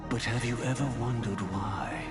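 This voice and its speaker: A man's voice: mid-range voice, moderate pace, roomy sound, loud music behind the voice, close to an everyday voice. A man acting in a video game as a grave, grandiose executioner, speaking in solemn, archaic phrases. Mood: solemn, merciless. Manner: solemn, archaic, pompous diction. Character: grave, grandiose male executioner passing a death sentence.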